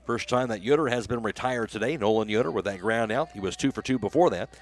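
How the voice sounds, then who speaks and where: A man's voice: lowish voice, quick pace. An American man doing live radio play-by-play commentary of a baseball game.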